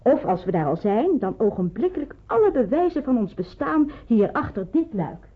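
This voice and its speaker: An actress playing a businesslike woman in hiding who explains things briskly, in an old radio play. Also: on the high side, sing-song, husky. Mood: brisk, instructive. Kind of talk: Dutch radio play acting. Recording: muffled, narrow-band old radio recording.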